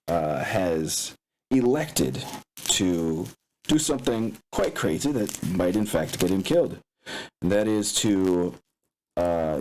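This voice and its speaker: Game master, male, low voice, casual and halting, explaining rules and maps to players. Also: slight background noise, rough recording. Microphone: open microphone picking up room sounds.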